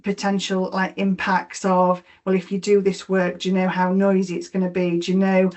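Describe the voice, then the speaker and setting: A woman's voice: mid-range voice, completely flat delivery, normal speed, darkish tone. A middle-aged woman with a Yorkshire accent, presenting a webinar on mortgage rewards, explaining each slide with frequent fillers and repeated words. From Yorkshire, England.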